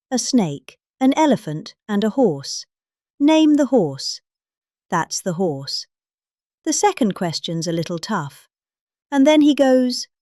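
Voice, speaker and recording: A woman's voice: fairly high pitch, a little mellow, no room sound, clean studio sound. A middle-aged British woman giving an emphatic, theatrical reading of a rambling speech in a conversational way. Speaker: reader.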